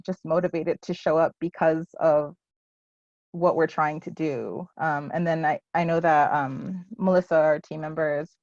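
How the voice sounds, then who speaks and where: A woman with a lowish voice presents her project and fields questions, pausing often on ums and restarting her sentences. The sound is dry and close, with the dull, muffled quality of a video call. She speaks in a casual, unpolished way. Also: steady tone, darkish tone, dry recording. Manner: hesitant, many ums and restarts. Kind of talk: casual presentation at a virtual symposium.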